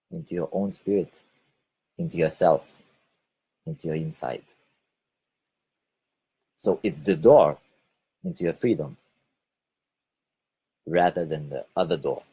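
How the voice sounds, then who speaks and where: A man from Lithuania with a Lithuanian accent, giving a halting spoken monologue and often repeating or correcting his words. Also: on the low side, darkish tone, breathy edge. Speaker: online video host.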